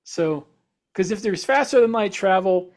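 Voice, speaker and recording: Opinionated podcast co-host, halting male speaker. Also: sits high, expressive, a little mellow. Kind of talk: casual podcast discussion.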